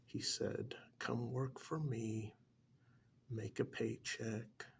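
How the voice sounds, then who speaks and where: A man playing a calm, blunt couples therapist in a soft-spoken ASMR role-play, half-whispering with breathy, deliberate, hesitant pauses. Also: medium pitch, a little hiss or hum. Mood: calm, musing. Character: calm, blunt male couples therapist counselling married clients. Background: soft clicking of pencil tapping.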